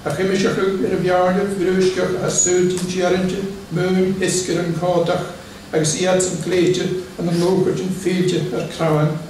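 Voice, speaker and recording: A man reading his poetry to an audience, in a measured, declamatory way with brief spoken asides. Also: sits high, flat delivery, a little mellow, slight background noise. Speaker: poet. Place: large church hall. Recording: echoey church hall from far off.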